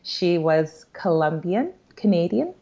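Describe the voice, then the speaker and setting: A woman's voice: on the low side, big pitch swings, slow pace, warm tone. A woman guest in casual interview chat, chatty and laughing often. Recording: dull lo-fi remote audio.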